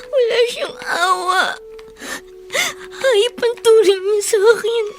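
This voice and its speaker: Very high-voiced, hurt runaway girl. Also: slow, exaggerated voice. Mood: tearful, desperate. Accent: Filipino. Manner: emotional, plaintive, polite to elders. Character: hurt, abandoned runaway girl Clarissa, later a resilient grown woman. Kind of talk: Filipino radio drama acting. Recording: music under the voice.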